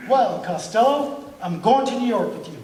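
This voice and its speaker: A man's voice: very high-pitched, lively intonation, moderate pace, a little mellow. An amateur actor playing an unflappable, insistent straight man in a vintage radio comedy double act. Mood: confident, explaining. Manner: matter-of-fact, insistent. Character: unflappable, matter-of-fact comedy straight man, a team coach. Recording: echoey room with faint noise.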